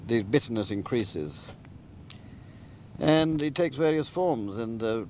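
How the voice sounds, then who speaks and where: A man giving a deliberate, emphatic and articulate spoken summary of a story, like a novelist outlining a book. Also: medium pitch, animated, darkish tone, muffled recording, a little hiss or hum. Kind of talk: spoken plot summary, literary talk.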